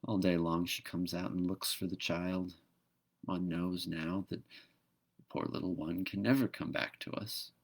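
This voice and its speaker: A man's voice: low-pitched, flat delivery, measured pace. A man voicing a big, determined, grieving bushman father in a storybook, heavy and subdued.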